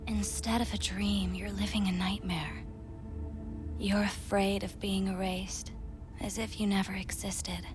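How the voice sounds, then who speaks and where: An actress playing a calm, probing female android companion in a game cutscene, speaking in a soft, breathy half-whisper. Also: mid-range voice, measured pace, over music, close to an everyday voice. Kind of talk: video game cutscene acting.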